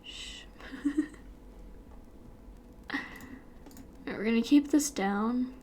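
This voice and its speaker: A woman's voice: a little high, drawn-out words, dark tone, slight background noise. A young American woman chatting casually and rambling while she works on an animation, with lots of giggles. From United States. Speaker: YouTuber animating while streaming. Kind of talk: casual chat while working.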